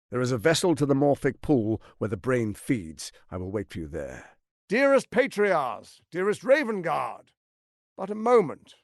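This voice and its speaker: Pompous scheming tyrant with wildly swooping delivery. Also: male voice, mid-range voice, gravelly, no room sound, clean studio sound. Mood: grandiose. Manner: pompous, theatrical, articulate, condescending. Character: scheming, silver-tongued tyrant archduke, grandiose and menacing. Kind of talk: theatrical video game voice acting.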